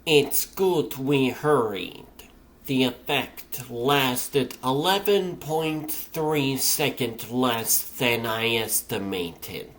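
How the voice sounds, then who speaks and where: Guy doing a detached lady scientist, super slow, polite, precise, clinical, comic dub villain crew. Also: medium pitch, lively intonation, fairly bright tone. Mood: cool, clinical. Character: cool, clinical female scientist henchwoman. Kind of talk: comic dub character acting.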